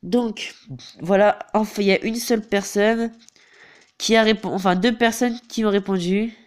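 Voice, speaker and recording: A man's voice: sits high, steady tone, moderate pace, smooth. A teenage boy with a head cold talks through a game tutorial over the screen. He hesitates often and keeps falling back on the same filler phrases. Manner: hesitant, filler-heavy, repeats "donc voilà". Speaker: gaming YouTuber.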